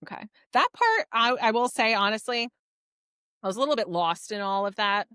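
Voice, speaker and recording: A woman's voice: a little high, lively intonation, moderate pace, pushed and bright, clear, resonant tone, dry recording, clean studio sound. A woman co-hosting a podcast, recapping a story in an informal, conversational way. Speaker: podcast co-host. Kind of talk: casual book discussion, recapping a story.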